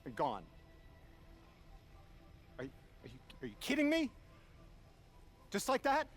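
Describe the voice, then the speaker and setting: An actor voicing a bewildered man displaced centuries from his own time, halting and searching for words, in a video game cutscene. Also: very high-pitched, heavily acted. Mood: disbelieving. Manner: anguished and halting when grieving, folksy and rambling when relaxed.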